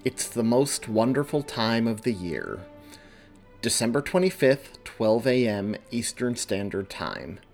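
A man's voice: medium pitch, animated, measured pace, creaky, soft background music. A man hosting a podcast and reading his own story aloud, moving between casual talk and narration. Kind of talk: casual podcast intro and audiobook-style narration.

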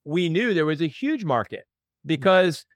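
A man's voice: a little high, sing-song, normal speed, no room sound. A man hosting a chiropractic business podcast from a studio, talking with enthusiasm and plenty of casual fillers. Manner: enthusiastic, conversational with many fillers. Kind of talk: interview podcast.

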